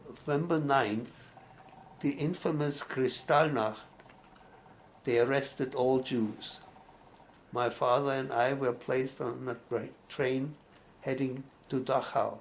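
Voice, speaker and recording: A man's voice: medium pitch, creaky, slight background noise, rough recording. A man, reading his life story aloud and often stopping to restart a word. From Austria. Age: 90s. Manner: halting, restarts words mid-phrase. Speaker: memoir narrator.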